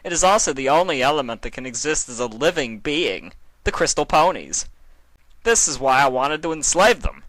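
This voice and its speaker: A man's voice: on the high side, big pitch swings, moderate pace, a bit bright, no echo, voice pushed up, rough recording. A man voicing an earnest, power-mad pony king who rants with an odd cadence, rising at sentence ends, in a cartoon fan fiction reading. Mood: fanatical.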